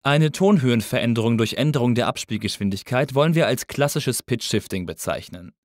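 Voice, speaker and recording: Man, instructor, scripted lesson narration, measured delivery, very dry recording. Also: mid-range voice, expressive, a little mellow, no echo. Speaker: audio engineering instructor.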